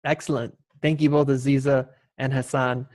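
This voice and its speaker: A man's voice: medium pitch, level tone, normal speed, darkish tone. A man moderating a live panel discussion, handing over to speakers and relaying questions.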